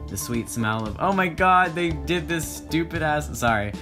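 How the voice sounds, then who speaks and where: A man's voice: on the high side, animated, moderate pace. A man reading a story aloud as voiceover, with informal, sweary and joking asides of commentary. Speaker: voiceover reader. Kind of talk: story narration with casual commentary. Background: music.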